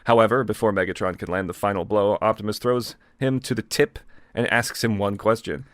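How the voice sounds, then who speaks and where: Low-voiced, deadpan man reading aloud. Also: brisk, no echo. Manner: dry, deadpan. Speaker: co-host and reader. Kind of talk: reading fan fiction aloud with casual asides.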